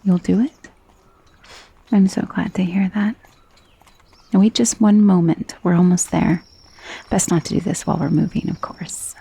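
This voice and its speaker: A woman voicing a gentle, doting female vampire in an ASMR roleplay, speaking in a soft, intimate, soothing way that often drops toward a whisper. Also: lowish voice, steady tone, moderate pace, gravelly, pauses often, husky, full character voice. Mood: gently persuasive. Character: gentle, coaxing female vampire mistress of a mansion.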